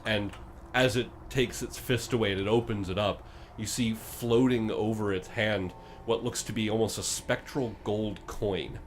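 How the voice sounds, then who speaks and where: A man working as game master, improvising descriptive narration for a tabletop game in a halting way. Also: lowish voice, steady tone, a little hiss or hum.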